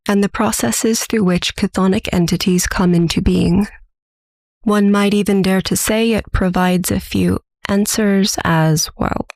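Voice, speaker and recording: An actress playing a composed, dryly wry forensic interviewer in an audio drama, speaking in a formal, measured, deadpan way. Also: female voice, medium pitch, dry recording, close to an everyday voice. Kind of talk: audio drama scripted narration.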